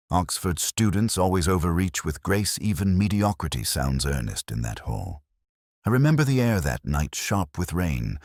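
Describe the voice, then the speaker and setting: Brooding middle-aged detective narrator, played by a man, extremely low voice, lively intonation, measured and erudite, for an audio mystery drama. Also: dry recording, clean studio sound, full character voice. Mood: wry, melancholic. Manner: measured, literary, reflective delivery. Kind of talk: scripted first-person mystery narration.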